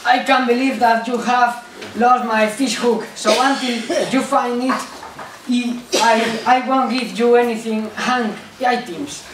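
A woman's voice: a little high, fairly even intonation, unhurried, close to an everyday voice. A young woman voicing a stern, unforgiving fisherman brother in an amateur puppet play. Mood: stern, scolding. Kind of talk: amateur puppet show acting. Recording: echoey room, distant, noisy.

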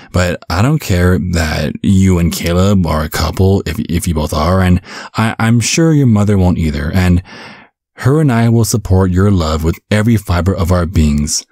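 A man playing a caring, bumbling vampire father in an ASMR roleplay, speaking gently with a stammering, reassuring manner. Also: sits low, moderate pace, dry recording, studio quality. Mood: earnest, reassuring. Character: caring, bumbling vampire father, looks twenty-five, over a century old.

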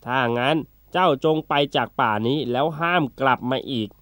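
A man's voice: medium pitch, moderate pace, a bit bright, dry recording, studio quality, lightly acted. A man voicing a brave, wily turtle with a confident, teasing manner in a children's fable. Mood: defiant, firm. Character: brave, wily, unflappable turtle who outsmarts bullies. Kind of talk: children's animal fable character acting.

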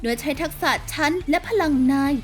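A woman voicing a bossy, sardonic young rebel leader in a video game fan dub, with a brisk, teasing delivery. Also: natural voice. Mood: brisk briefing. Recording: music behind the voice.

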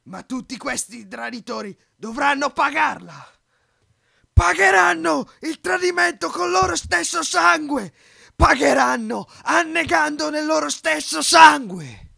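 A man's voice: very high-pitched, expressive, measured pace, creaky, sharp tone, exaggerated voice. A man dubbing a paranoid, ranting middle-aged dictator in an amateur film dub. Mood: furious. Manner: ranting, with emphatic repetition.

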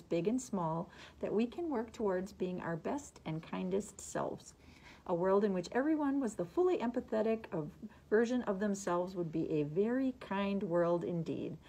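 A woman's voice: mid-range voice, dark tone. A middle-aged woman, a librarian recommending books and reading summaries, her voice slightly muffled by a face mask.

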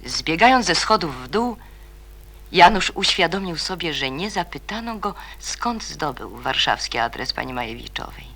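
A serious radio play narrator reading literary prose. The voice is gravelly with fry yet bright and forceful, at a middling pitch. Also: very animated, normal speed. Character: serious storyteller narrating a youth novel.

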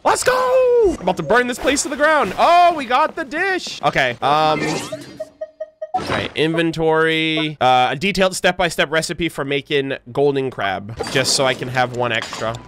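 A man's voice: on the high side, sing-song, normal speed, background noise. A man giving casual live gameplay commentary as a streamer, playful and full of slang and swearing. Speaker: game streamer. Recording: game music behind the voice. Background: game music.

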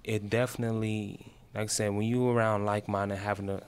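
Man, late 20s, low smooth voice, encouraging testimonial guest. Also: little pitch movement, fairly quick. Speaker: guest, peer specialist. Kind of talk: casual interview chat. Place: small radio studio, indoors. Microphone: desk mic on stand.

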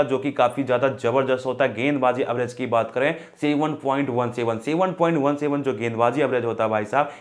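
A young man hosting a cricket match preview, talking to camera in a chatty, emphatic way and addressing viewers as brothers. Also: medium pitch. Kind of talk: casual cricket prediction talk to camera. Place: indoor studio in front of a green screen.

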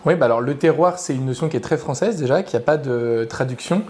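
A man's voice: mid-range voice, quick pace, a little mellow. A young adult man, an author invited as a guest, giving long structured explanations that list his points in order. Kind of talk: explanatory interview answers.

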